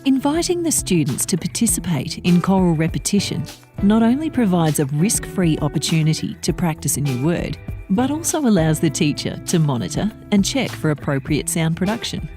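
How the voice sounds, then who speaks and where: A woman giving scripted voice-over narration for a teacher training video, measured and explanatory. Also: on the low side, big pitch swings, warm tone. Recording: voice-over with music behind.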